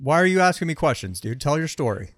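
A man's voice: on the high side, sing-song, fast talker, no room sound. A man co-hosting a podcast, ribbing his partner in a dry, sardonic, teasing way. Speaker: podcast co-host. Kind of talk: casual chat.

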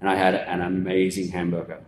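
A man with an Australian accent, a conference guest casually explaining things with lots of fillers and restarts. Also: fairly quick. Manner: relaxed, rambling explainer with many fillers. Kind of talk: casual conversational interview. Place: conference venue. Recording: echoey room with faint rumble.

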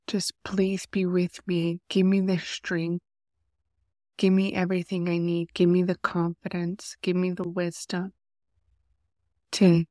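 Congested, nasal woman speaking slowly. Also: on the low side, no room sound, clean studio sound. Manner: soft, unhurried, earnest. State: congested from a cold. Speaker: podcast host. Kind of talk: faith podcast talk and prayer. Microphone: close podcast mic.

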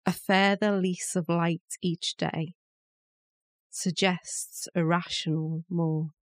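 Female poetry reader, extremely slow and measured delivery, dry close sound. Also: lowish voice, little pitch movement, no room sound. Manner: measured, pausing between short phrases. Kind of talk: poetry recitation.

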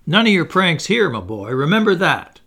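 Man putting on a gravelly, mocking old planter. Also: a little high, big pitch swings, moderate pace, bright, forceful tone, voice pushed up, exaggerated voice. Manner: mocking, bullying and preachy, with drawled words. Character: sarcastic, blustering old male plantation owner.

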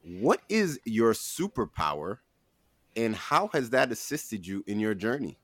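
Male podcast host, lively swinging intonation, emphatic drawn-out announcing, close dry sound. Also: lowish voice, no echo. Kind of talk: upbeat scripted show introduction and interviewing.

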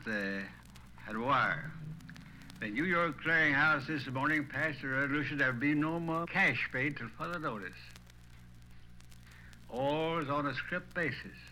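Bright-voiced older Southern man reminiscing, noisy recording. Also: on the high side. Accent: Southern US, Tennessee. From Tennessee, United States. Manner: folksy, acts out remembered conversations. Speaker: retired small-town banker telling his memories. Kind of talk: oral history storytelling.

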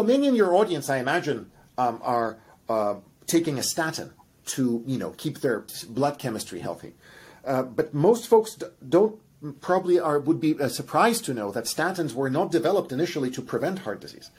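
A man's voice: medium pitch, breathy edge. A middle-aged man with a Russian accent, explaining animatedly as a guest scientist in a podcast conversation. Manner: animated, explains with examples and asides.

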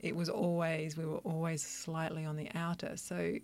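A woman who practises Chinese medicine, answering interview questions in an earnest, thoughtful, soft-spoken way. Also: sits low, little pitch movement, normal speed. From Australia, country Victoria.

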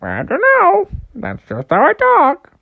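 Man doing a goofy high-pitched goose. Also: wildly swooping pitch, a little slow, gravelly, no room sound, narrow, tinny audio, heavily acted. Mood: cheerful. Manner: odd parrot-like talk. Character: goofy, squawky, eager magic golden goose.

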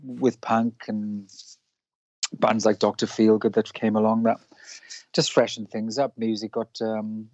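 A man from Wales, a musician guest, answering in a halting way with many restarts. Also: fairly low pitch, little pitch movement, darkish tone, no echo. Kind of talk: casual interview chat. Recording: dull dry lo-fi line.

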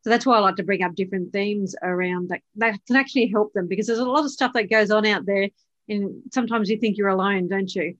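A woman's voice: medium pitch, steady tone, fast talker, no room sound, lo-fi sound. Woman podcast host, encouraging questions. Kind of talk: scripted intro then casual interview.

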